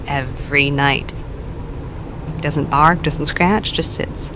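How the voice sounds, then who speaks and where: Casting: actress as hesitant uneasy caller, lowish voice, faint noise behind. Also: female voice, fairly even intonation, pushed and bright, heavily acted, poor audio. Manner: hesitant, halting, self-deprecating. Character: nervous, self-doubting woman caller.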